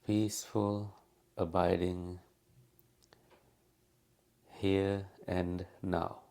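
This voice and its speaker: A man leading a guided meditation as a teacher, speaking calmly and unhurriedly with long pauses. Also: sits low, creaky.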